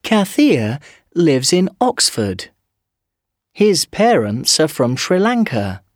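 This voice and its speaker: A reader voicing a language textbook recording, speaking clearly and deliberately for learners. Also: mid-range voice, dry recording, clean studio sound. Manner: clear, deliberate, lively intonation. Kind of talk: slow scripted reading for learners.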